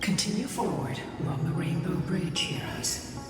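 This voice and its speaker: A woman's voice: lowish voice, expressive, normal speed, lightly acted. An actress voicing a composed, stately woman guide in a video game, formal and portentous. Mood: solemn. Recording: very echoey game voice over music.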